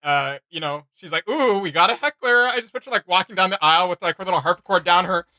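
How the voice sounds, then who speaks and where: A man hosting a reaction video, chatting casually in an animated, rambling, slangy way. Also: high voice, quick pace, no room sound.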